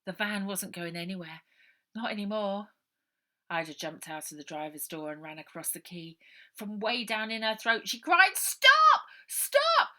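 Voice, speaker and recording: A woman author reading her own children's adventure novel aloud, lively, dropping to a whisper for suspense. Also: mid-range voice, normal speed. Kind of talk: storybook narration for children.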